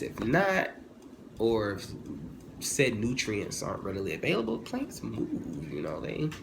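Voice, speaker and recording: A man in his twenties giving a casual, humorous opinion monologue as a vlogger, animated and informal, often digressing and correcting himself. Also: mid-range voice. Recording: clearly noisy, ambient background sound.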